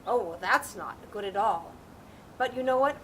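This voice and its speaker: A woman's voice: mid-range voice, lively intonation, normal speed, a little hiss or hum, lightly acted. A woman voicing a friendly, grizzled old male donkey in a storybook, hearty and confident.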